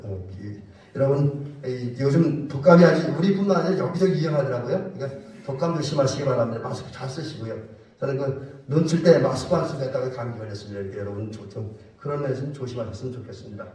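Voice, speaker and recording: Hoarse male pastor in an echoey church. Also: medium pitch, fast, mellow. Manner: plain, hesitant announcing with fillers. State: stuffy nose, slightly hoarse from a cold. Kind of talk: church announcements and benediction. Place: church sanctuary. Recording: echoey church hall, mic far off.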